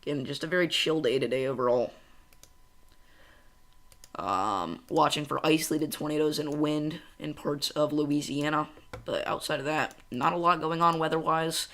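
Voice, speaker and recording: A young man from central Illinois hosts a casual weather livestream, chatting at home and reading chat aloud. His voice is bright and forward, pitched a little high, and he talks fast and excitedly, drifting into tangents.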